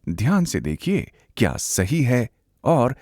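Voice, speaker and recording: A man's voice: medium pitch, sing-song, slow, a little mellow, no echo. A man narrating a scripted instructional film, emphatic and instructive, addressing viewers directly on a close, dry microphone. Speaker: narrator, presenter.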